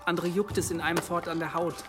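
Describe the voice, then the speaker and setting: An actor playing an earnest young monk in a radio drama, explaining things in a hesitant but knowledgeable way. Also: male voice, a little high, level tone, fast talker, music in the background, close to an everyday voice. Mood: thoughtful, earnest.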